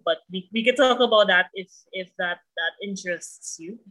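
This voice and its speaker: A woman in her thirties with a bright, forceful tone. She answers as an interview guest, taking her time and listing things off at length. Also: mid-range voice, normal speed, low-quality recording. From Trinidad and Tobago. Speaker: guest musician. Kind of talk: casual interview chat.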